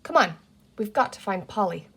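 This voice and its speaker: A woman's voice: medium pitch, expressive, moderate pace, vocal fry, slightly rough, exaggerated voice. A woman voicing a bossy, sensible eldest girl in a children's storybook, firm and taking charge. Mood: urgent, decisive.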